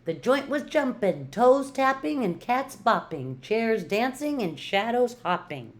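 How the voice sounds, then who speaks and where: Older woman reading a story, slowly and playfully. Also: on the high side, big pitch swings. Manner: rhythmic, playful storytelling with drawn-out emphasis. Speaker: retired teacher reading to children. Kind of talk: expressive picture-book read-aloud.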